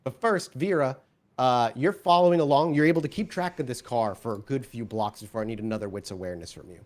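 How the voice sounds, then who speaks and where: A man's voice: medium pitch, fast, darkish tone. A man working as game master, improvising vivid narration and explaining rules in a conversational way.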